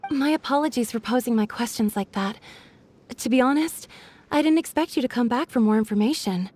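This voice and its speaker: Young villager woman for a game, voiced by an actress: timid, guarded, courteous, slightly high pitch. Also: a little fast, a little mellow, lightly acted. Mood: downcast, guarded. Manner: hesitant, polite. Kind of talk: video game voice acting. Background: music.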